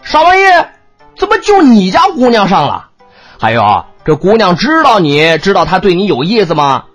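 A performer voicing a brash, excitable young man, a blunt and boastful best friend. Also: medium pitch, normal speed, slightly rough, soft background music. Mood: incredulous, mocking. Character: brash, excitable, foul-mouthed young male buddy.

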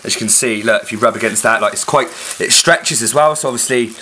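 Deadpan young British man pitching a fake product. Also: quick pace. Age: young adult. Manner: deadpan sales pitch with crude jokes. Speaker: vlogger. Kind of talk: mock infomercial comedy. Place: living room, sitting on a sofa. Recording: roomy with faint noise.

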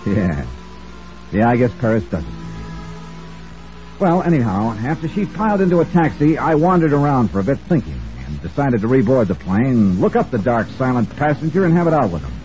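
An American actor playing a hard-boiled insurance investigator who narrates in a wry, clipped, conversational way, in 1950s radio detective style. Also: male voice, mid-range voice, sing-song, fairly quick, mellow, close to an everyday voice. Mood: wistful, amused. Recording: dull vintage radio recording with music.

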